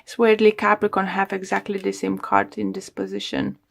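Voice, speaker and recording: A woman giving a rambling tarot reading, with loose phrasing, lots of fillers, and a voice that is going hoarse. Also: mid-range voice, completely flat delivery, moderate pace. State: losing her voice. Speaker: tarot reader. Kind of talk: solo tarot reading to camera.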